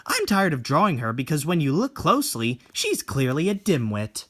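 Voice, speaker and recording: A man's voice: a little high, huge pitch swings, moderate pace, a bit bright, exaggerated voice. A man voicing a sly, haughty young woman with a snobby, mocking manner in a horror comic reading. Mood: smug, taunting. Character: sly, haughty, manipulative young female rival.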